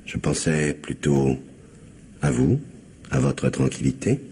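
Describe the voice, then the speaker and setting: An actor playing a scheming, indebted blackmailer with falsely courteous, insinuating manners in a French radio mystery. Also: male voice, rumbling low, animated, slow pace, dark tone, exaggerated voice. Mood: veiled threat. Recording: faint background noise.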